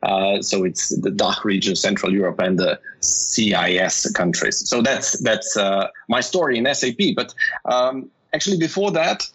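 A man's voice: fairly low pitch, normal speed, fairly bright tone, slightly rough, low-quality recording. A man from Slovenia being interviewed, talking through his career with many fillers and restarts. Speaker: guest, telecom industry manager. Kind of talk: conversational interview.

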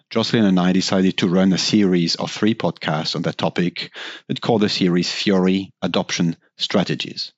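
A man hosting a corporate tech podcast, asking questions in a formal, slightly halting way. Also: sits low, no echo, muffled recording. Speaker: podcast host.

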